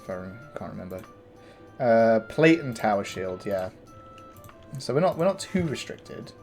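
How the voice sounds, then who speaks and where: A man with a gravelly morning voice, casually commenting on his game as he plays, thinking aloud with fillers and restarts. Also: on the low side, big pitch swings, moderate pace, darkish tone, faint music behind. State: tired morning voice. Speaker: gameplay commentator. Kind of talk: casual let's-play commentary.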